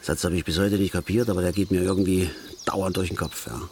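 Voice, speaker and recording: An actor playing a middle-aged father and keen angler in a radio drama, blunt and aggrieved, speaking haltingly and repeating his words. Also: low voice, fairly even intonation, a little mellow, slight background noise. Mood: pensive. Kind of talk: naturalistic German radio drama acting.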